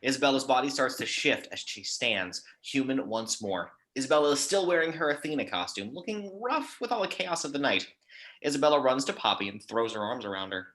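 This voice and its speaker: A man's voice: mid-range voice, animated, fairly quick, fairly bright tone. A man reading action descriptions as narrator in an amateur screenplay table read.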